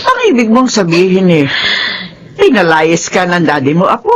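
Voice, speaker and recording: A woman's voice: lowish voice, wildly swooping pitch, moderate pace, soft background music. An actress in a Filipino radio drama playing a kindly, protective old grandmother. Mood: concerned.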